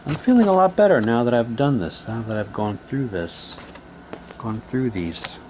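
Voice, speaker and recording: A man's voice: medium pitch, lively intonation, moderate pace, background noise. A man doing a casual unboxing, talking in a rambling, repetitive way and reading printed items aloud. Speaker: hobby vlogger unboxing audio drama sets.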